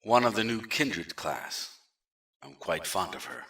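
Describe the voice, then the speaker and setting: A man's voice: low-pitched, sing-song, measured pace, fairly bright tone, reverberant, far from the mic, full character voice. An actor playing a coldly polite, threatening male general holding a prisoner. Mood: smooth menace. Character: menacing male general, smooth and patronizing.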